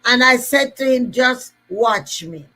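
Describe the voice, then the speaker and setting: A woman's voice: fairly high pitch, expressive, slow, pushed and bright. A woman with a Jamaican accent giving an emphatic, repetitive motivational talk to listeners. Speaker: motivational speaker.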